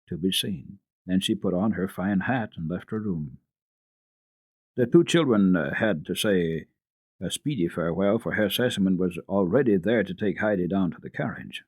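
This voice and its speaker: A man giving an expressive, careful dramatic reading of classic children's literature as a radio storyteller. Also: low voice, slightly rough, no room sound.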